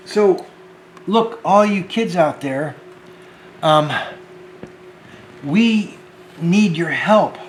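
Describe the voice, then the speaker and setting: A man hosting a homemade children's show, talking gently and hesitantly to the kids and often repeating words. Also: high voice, animated, drawn-out words, long pauses, reverberant, slight background noise.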